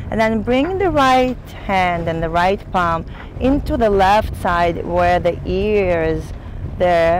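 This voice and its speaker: A middle-aged woman leads gentle stretches at an unhurried pace. She speaks calmly and instructively, leaving space between each cue. Clear wind and boat noise surrounds her voice out on an open deck. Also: medium pitch, low-quality recording. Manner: calm, instructional, with pauses between cues. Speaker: yoga instructor. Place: outdoors on a sailboat deck at sea. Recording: windy outdoor boat noise.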